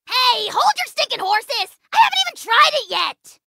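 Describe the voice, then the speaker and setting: A woman's voice: very high voice, big pitch swings, moderate pace, bright, forceful tone, no echo, studio quality, heavily acted. A woman voicing a small, self-important dragon lord in a video game, pompous, petulant and theatrically taunting. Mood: outraged. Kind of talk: video game character voice acting.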